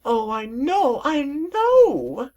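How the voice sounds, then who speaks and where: Woman putting on a vain sea serpent, shrill, wailing, drawn-out, melodramatic, storybook creature. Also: sing-song, a little mellow, heavily acted. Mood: sobbing. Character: vain, weepy male sea serpent, huge and dramatic. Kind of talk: fan fiction read aloud.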